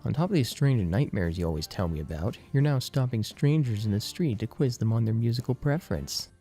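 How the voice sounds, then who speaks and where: A man's voice: mid-range voice, animated, brisk, dark tone, soft background music, pitched above the performer's own voice, close to an everyday voice. A man voicing a cocky young male student who teases his friend with mocking, jocular banter.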